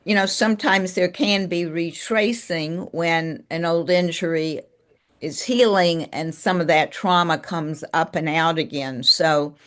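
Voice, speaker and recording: A woman's voice: on the low side, a little slow, a bit bright. A woman hosting a live webinar over a video call, encouraging callers and leaning on "you know" fillers. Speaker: webinar host.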